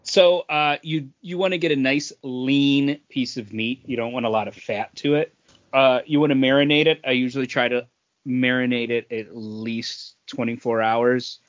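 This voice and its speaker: A man's voice: medium pitch, steady tone, normal speed, dull audio. A man talking casually as a podcast co-host, explaining things in a conversational way.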